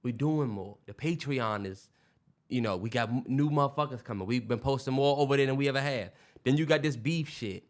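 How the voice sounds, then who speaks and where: A man's voice: medium pitch, fairly quick. A young man co-hosting a podcast, talking loosely with profanity and lots of restarts. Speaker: podcast co-host. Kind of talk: casual chat.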